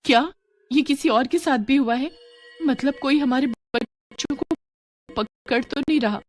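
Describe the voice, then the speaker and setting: A woman's voice: sits high, a little slow, faint music behind, pitched above the performer's own voice. A woman voicing a young schoolgirl with an earnest, questioning manner in a horror story. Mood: puzzled. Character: young schoolgirl, puzzled and uneasy.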